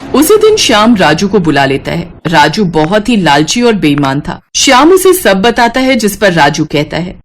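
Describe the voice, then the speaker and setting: A woman narrating a scripted devotional moral story in an expressive storytelling style, with music behind her. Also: medium pitch, normal speed.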